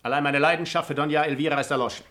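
A man's voice: a little high, fairly even intonation, rapid-fire, sharp tone. A male actor in a classic radio play, playing a proud, unrepentant nobleman seducer with a composed, haughty, formal manner. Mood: nonchalant.

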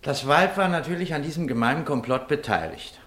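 Animated, insistent questioner. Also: male voice, a little high, a little fast. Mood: impatient, probing. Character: impatient, inquisitive man questioning a witness. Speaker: actor in a radio drama. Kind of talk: German radio play acting. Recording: roomy radio play sound.

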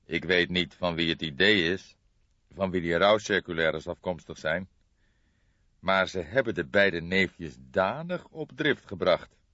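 A man reading an audiobook as a shrewd older police detective, speaking softly in a calm, measured, deliberate way. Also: low-pitched, no echo, lo-fi sound, full character voice. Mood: calm, reflective. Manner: measured, deliberate, soft-spoken.

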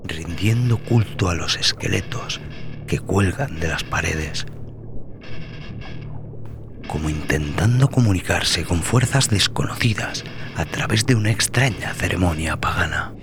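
A man narrating dramatic scripted horror as a podcast host, brooding, theatrical and deliberate in his delivery. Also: low-pitched, lively intonation. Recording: loud music under the voice.

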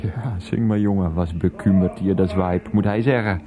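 An actor playing an irritable, evasive husband in a Dutch radio play, with a sarcastic, grumbling manner. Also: male voice, sits low, fairly even intonation, normal speed, narrow, tinny audio, lightly acted. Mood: grumbling, resentful. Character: irritable, evasive philandering husband, sacked and resentful. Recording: music behind the voice.

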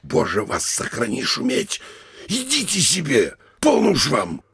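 An actor playing a fussy, ingratiating provincial mayor in a classic Russian comedy audio play, wheedling repetitively and snapping irritably at interruptions. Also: male voice, a little high, huge pitch swings, measured pace, fairly bright tone, exaggerated voice. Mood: irritated, hissing. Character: fussy, anxious, ingratiating provincial mayor, a bullying older official.

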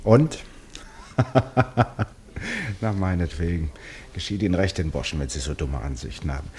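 An actor in a German radio play playing an eccentric, unworried amateur detective who talks in teasing, rhetorical, dismissive turns. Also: male voice, low voice, big pitch swings, unhurried, darkish tone, a little hiss or hum. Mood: grudging, wry. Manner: rhetorical, breezily dismissive, exasperated.

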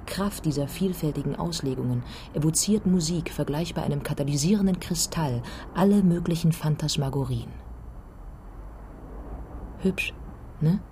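A woman's voice: deep voice, normal speed, dark tone, natural voice. Actress as a prickly bored woman composer, dry and mocking, radio drama, noisy background. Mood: mocking recital. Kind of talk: naturalistic radio drama dialogue.

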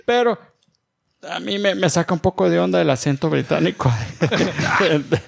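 A man's voice: high voice, normal speed, creaky. A man co-hosting a casual podcast, dropping English phrases into his talk. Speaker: podcast co-host. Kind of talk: casual chat.

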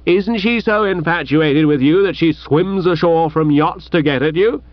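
A man's voice: fairly high pitch, sing-song, slow, dry recording. A man voicing an indignant upper-class young Englishman who huffs and declaims in moral outrage. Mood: righteously indignant. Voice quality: huffy, declamatory, snorting. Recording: dull muffled recording.

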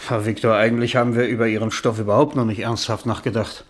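A man's voice: lowish voice, flat delivery, quick pace, lightly acted. A man playing a proud, weary older novelist in a radio drama, with a formal, cultivated way of speaking. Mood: measured objection. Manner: formal, eloquent, reserved.

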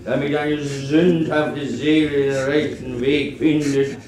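Drunken, bullying army chaplain in echo. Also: male voice, fairly high pitch, steady tone, slow pace, darkish tone. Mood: tearful, scornful. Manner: rambling, abusive, shifting from barked orders to maudlin piety. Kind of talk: German radio play sermon. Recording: echoey chapel-like room.

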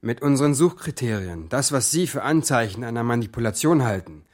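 A man's voice: medium pitch, lively intonation, fairly quick, close to an everyday voice. An actor playing a brash young male hacker with a cocky, colloquial manner in a radio play. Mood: focused, excited. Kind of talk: naturalistic radio play acting.

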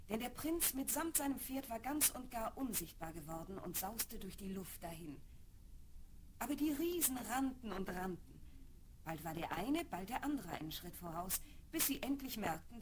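Female storyteller for a children's fairy-tale record, medium pitch, clearly noisy vinyl transfer. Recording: noisy old vinyl rip.

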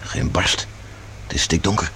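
An actor playing a practical, watchful agent in a science fiction radio drama, giving terse, urgent instructions. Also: male voice, a little slow, pushed and bright, slight background noise. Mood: wary, practical. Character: watchful, practical male space agent scouting by stealth.

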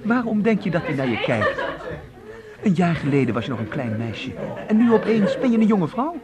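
A man's voice: high voice, normal speed. An actor in a Dutch radio play playing an affectionate, teasing older brother who looks out for his teenage sister. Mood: fond, teasing. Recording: radio play with lively crowd behind.

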